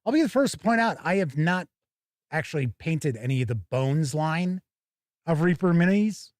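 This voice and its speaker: A man's voice: fairly high pitch, lively intonation, moderate pace, no room sound, studio quality. A man hosting a tabletop gaming show, giving chatty, casual live commentary as he looks over products and talks with viewers.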